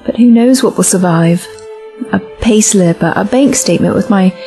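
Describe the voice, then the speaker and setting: A woman's voice: medium pitch, moderate pace, warm tone, faint music behind, close to an everyday voice. A woman playing an uncertain, defensive young companion in a science-fiction audio drama, slightly hesitant and stammering. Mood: pensive.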